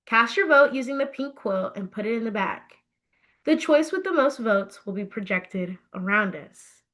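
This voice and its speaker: A woman's voice: on the high side, expressive, moderate pace, fairly bright tone. A woman voicing a young princess who leads a committee, composed and businesslike, in storybook dialogue. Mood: brisk, composed. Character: young princess, brisk organized committee leader.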